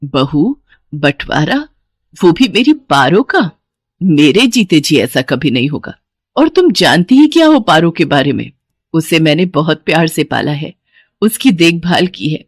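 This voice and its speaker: A woman's voice: deep voice, big pitch swings, a little slow, breathy edge, dry recording, clean studio sound, exaggerated voice. A woman voicing a devoted, protective village mother who speaks plainly in a cartoon moral story. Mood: wounded, firm.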